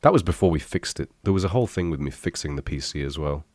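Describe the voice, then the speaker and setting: A young man with an extremely deep voice, thick and stuffy from a cold. He rambles casually and pokes fun at himself, dropping the odd swear word. The sound is dry and close, with no room echo. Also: expressive, fast talker, darkish tone. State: congested, bunged up from illness. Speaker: youtuber reacting to his old videos. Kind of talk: casual reaction commentary.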